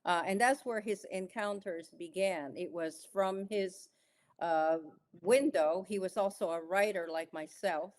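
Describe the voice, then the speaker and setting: A middle-aged woman from the Philippines, a guest author recounting her past in a podcast interview, with measured pauses and frequent "uh" and "um.". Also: mid-range voice, darkish tone, slight background noise.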